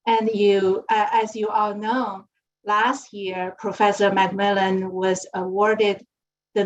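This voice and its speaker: A woman moderator reading a formal speaker introduction in a measured way. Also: mid-range voice. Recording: muffled lo-fi audio.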